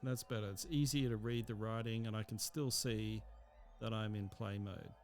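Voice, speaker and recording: A man narrating a software tutorial in a casual, explanatory and somewhat hesitant way. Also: on the low side, steady tone, normal speed.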